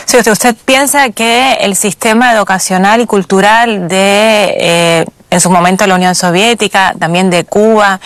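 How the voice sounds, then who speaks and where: A woman's voice: mid-range voice, fairly even intonation, a little slow, hardly any pauses, no room sound. A woman interviewing a guest in a formal, polite tone, asking long layered questions.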